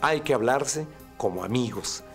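A man's voice: mid-range voice, animated, measured pace, a bit bright, faint music behind. A middle-aged man with a Mexican accent speaking earnestly and emphatically in an interview. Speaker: radio host. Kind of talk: documentary interview. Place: furnished indoor room. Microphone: lapel mic.

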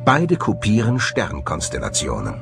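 A man's voice: deep voice, huge pitch swings, normal speed, vocal fry. A man delivering scripted documentary voice-over narration. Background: background music.

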